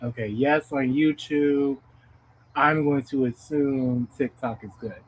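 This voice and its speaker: A man's voice: medium pitch, takes it slowly, poor audio. A man in his thirties hosting a casual livestream talk, reflective and earnest, often checking in with the audience. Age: 30s. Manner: reflective, earnest, rhetorical "okay?" check-ins. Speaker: livestream host.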